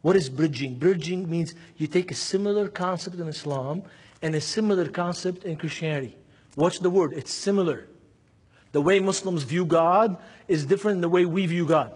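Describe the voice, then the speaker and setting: High-voiced husky man with a Lebanese accent. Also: moderate pace, a little mellow. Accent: Lebanese Arabic. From Beirut, Lebanon. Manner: emphatic, acts out dialogue, repeats key phrases. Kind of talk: seminar preaching with anecdotes and rhetorical questions.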